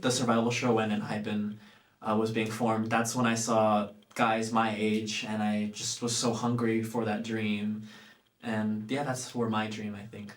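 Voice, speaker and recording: A young man from Los Angeles who sings and acts in a pop group, answering earnestly and trailing off softly at the ends of phrases. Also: on the low side, echoey room. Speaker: singer and actor in a pop group. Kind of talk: casual interview answers.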